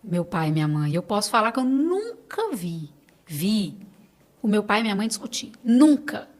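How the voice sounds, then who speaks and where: A woman's voice: mid-range voice, sing-song, normal speed, darkish tone. A Brazilian woman in her late thirties speaking as a podcast guest, conversational and emphatic, advising listeners directly with reassurance. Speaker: clinic owner advising on supplements. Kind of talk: conversational interview.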